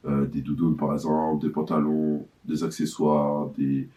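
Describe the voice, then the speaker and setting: A man's voice: very deep voice, monotone, a little slow, mellow. A man in his early twenties from Paris, a young brand founder, talking casually in an interview. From Paris, France. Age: 20s.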